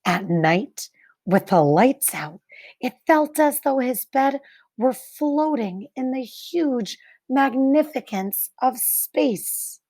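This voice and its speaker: A woman reading a picture book aloud like a teacher, expressively, with deliberate dramatic pauses and emphasis for young listeners. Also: high-pitched, animated, darkish tone. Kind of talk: expressive children's read-aloud narration.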